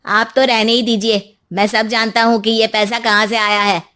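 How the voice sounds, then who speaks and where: A woman's voice: on the high side, level tone, moderate pace, bright, forceful tone. A woman voicing a rude, defiant son in a cartoon story, with a curt, insolent manner.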